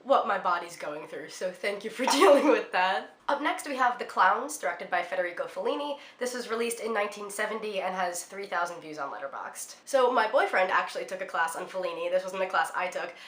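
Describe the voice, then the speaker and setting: A woman's voice: mid-range voice, expressive, fairly quick, hardly any pauses, fairly bright tone, echoey room. Chatty young woman with a cold. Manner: chatty, lots of "like" and "um" fillers. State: congested from a cold. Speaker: film vlogger. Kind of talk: casual film recommendations to camera.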